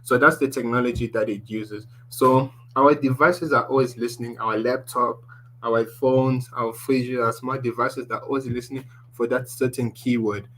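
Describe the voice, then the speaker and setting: Male presenter with a deep voice, mid pitch, teaching a tech class over a faint hum, restarts often. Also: steady tone, normal speed, a bit bright, poor audio. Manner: explaining slides, restarts often, ends points with "basically". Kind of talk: online tech lesson.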